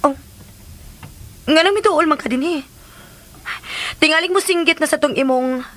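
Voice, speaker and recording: Earnest, high-voiced Cebuano woman. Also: normal speed, fairly bright tone. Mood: concerned. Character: earnest, caring young pregnant woman, resolute. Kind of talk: Philippine radio drama acting.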